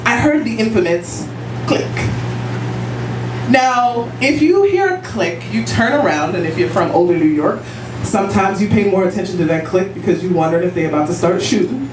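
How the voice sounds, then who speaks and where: A woman's voice: medium pitch, lively intonation, moderate pace, background noise. Woman from guyana, late 20s, telling a story live, playful and sure of herself, mic off at a distance in a roomy space. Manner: confident, playful, conversational. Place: live storytelling show in a room.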